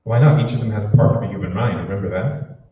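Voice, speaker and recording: A man's voice: fairly low pitch, fast talker, natural voice. A man playing an anxious, furtive conspirator in an old-time radio drama, with a hesitant, secretive delivery. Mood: hushed, tense. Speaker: amateur stage actor. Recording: roomy, distant, dull hall recording with hiss.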